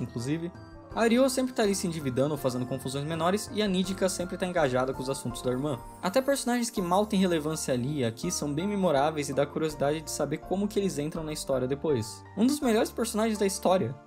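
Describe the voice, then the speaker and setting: Male video essay narrator, brisk and wry, soft music bed underneath. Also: fairly high pitch. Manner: brisk, opinionated, wry delivery.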